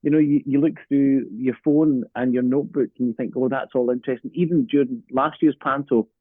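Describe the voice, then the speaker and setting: A man's voice: mid-range voice, fairly even intonation, fairly quick, husky, no echo, narrow, tinny audio. An enthusiastic, chatty man in a casual interview as a podcast guest, heard over a thin remote line. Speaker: pantomime director and writer, podcast guest.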